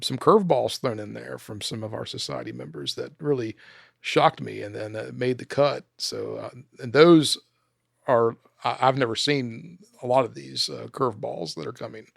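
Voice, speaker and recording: A man's voice: medium pitch, brisk, creaky, no echo, studio quality. A man talking casually as a panelist on a podcast.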